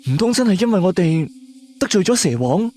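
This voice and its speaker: A principled, worried companion in a supernatural audio drama. The Cantonese-accented voice is high and swings animatedly, earnest and exasperated, with faint music underneath. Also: male voice, big pitch swings, fairly quick, dark tone. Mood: puzzled, exasperated. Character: worried, principled companion who scolds and questions.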